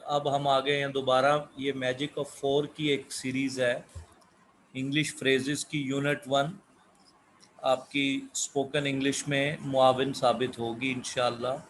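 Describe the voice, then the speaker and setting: Slow, deliberate male teacher. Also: medium pitch, little pitch movement, fairly bright tone, a little hiss or hum, rough recording. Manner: reads English examples slowly and deliberately, then explains in Urdu-flavored Hindustani. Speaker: English teacher. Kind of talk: slow explanatory lesson, reading English phrases and translating.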